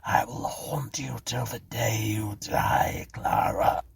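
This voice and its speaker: Guy doing a dying vengeful man, very raspy and whispery, slow menacing audiobook voice. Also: a bass voice, pushed and bright, pitched below the performer's own voice, exaggerated voice. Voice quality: breathy, rasping. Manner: menacing, drawn out. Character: dying, malevolent man cursing his beloved.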